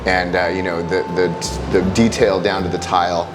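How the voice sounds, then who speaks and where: Guy asking casual interview questions, lowish voice, music behind. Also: level tone, moderate pace. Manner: conversational, loose fillers.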